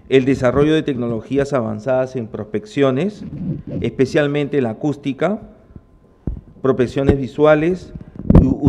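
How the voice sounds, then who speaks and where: A man's voice: medium pitch, noisy background. A man moderating a technical talk, reading out a speaker's background with occasional personal asides. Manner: reads a bio aloud with small asides. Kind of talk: introducing a speaker.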